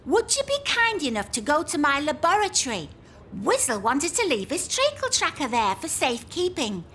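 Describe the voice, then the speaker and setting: An actress playing an eager, practical young village woman in a comedy audio drama, brisk and inquisitive. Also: high-pitched, very animated, a bit bright, a little hiss or hum. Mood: reading aloud earnestly.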